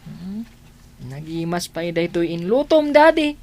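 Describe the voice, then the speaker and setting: A woman's voice: on the low side, very animated, a little slow, a little mellow. A woman with an Ilocano accent playing a plaintive young child who confides in a parent, in a radio drama. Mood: eager. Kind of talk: Filipino radio drama acting.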